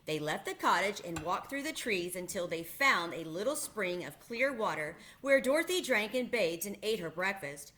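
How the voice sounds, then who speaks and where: Bright-voiced woman reading a storybook. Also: mid-range voice, moderate pace, few pauses, slight background noise. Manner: expressive storytelling. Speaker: reader. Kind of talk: reading a children's book aloud.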